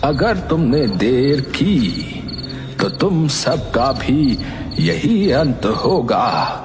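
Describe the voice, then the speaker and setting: Man as a commanding guru exorcist, slow and echoing. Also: mid-range voice, big pitch swings, background noise, full character voice. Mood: stern, commanding. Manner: slow, emphatic, declamatory. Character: grave, commanding male guru exorcist, reassuring and solemn. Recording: long reverb, music behind the voice.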